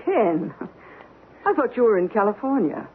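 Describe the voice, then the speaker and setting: An actress playing a wounded, sarcastic estranged wife in an old-time radio drama, with an arch, needling delivery. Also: female voice, fairly high pitch, very animated, moderate pace, slightly rough. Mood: sardonic. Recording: muffled old radio broadcast.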